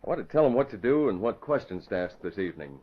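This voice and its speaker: An actor playing a composed, decisive newspaperman and secret vigilante, giving brisk orders in a 1940s radio drama style. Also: on the low side, big pitch swings, a little hiss or hum. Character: composed, commanding newspaperman leading a double life as masked vigilante. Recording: muffled vintage radio recording.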